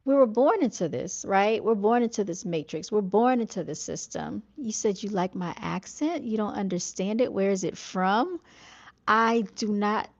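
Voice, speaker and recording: A woman's voice: mid-range voice, expressive, normal speed, highs cut off. Chatty Southern woman talking to viewers. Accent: Southern American. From North Carolina, United States. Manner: chatty, addresses viewers as "y'all", many fillers. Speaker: vlogger reading viewer comments. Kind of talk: casual chat to viewers.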